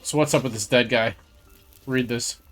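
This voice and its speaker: A man's voice: mid-range voice, steady tone, normal speed, faint music behind. A man giving casual commentary on a video game he is playing, sometimes reading game text aloud.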